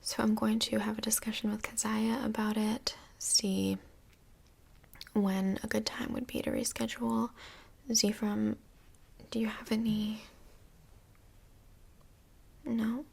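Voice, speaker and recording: Woman as kindly, tactful female couples' counselor for ASMR role play: whispery, breathy, slightly high, hesitant and reassuring. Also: flat delivery, slow pace, pauses often, exaggerated voice. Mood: attentive, patient. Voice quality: breathy, creaky soft voice.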